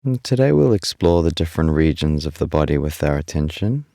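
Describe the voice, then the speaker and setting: A man leading a guided body scan meditation in a calm, unhurried, soothing way, leaving long pauses between instructions. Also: very deep voice, dry recording, studio quality.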